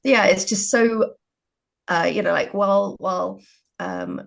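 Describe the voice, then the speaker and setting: A woman's voice: mid-range voice, a little slow, vocal fry. A woman who works as a writer, talking casually as a co-interviewer in a conversation.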